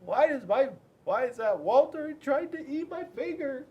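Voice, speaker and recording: A man voicing a dramatic young girl T-rex for a picture book, with shrieking, whiny, drawn-out wails. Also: very high voice, expressive, muffled recording, voice pushed up. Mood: whiny, aggrieved. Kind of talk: picture book read-aloud character voice.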